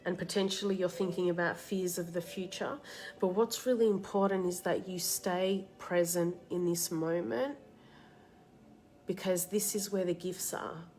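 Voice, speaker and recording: A woman with a slight cold and a tickly throat, hosting a live card reading and giving soft, reassuring advice to viewers by name. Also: lowish voice, steady tone, unhurried. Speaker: card reader and meditation host. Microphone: live-stream setup.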